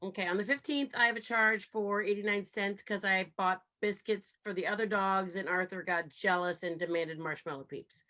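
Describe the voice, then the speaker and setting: Woman acting a bright, nervous dog walker. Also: medium pitch, moderate pace, few pauses, natural voice. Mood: chirpy, apologetic. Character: nervous, chirpy female dog walker, eager to appease. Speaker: sitcom actor at a cast reunion table read. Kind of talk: live comedic script read.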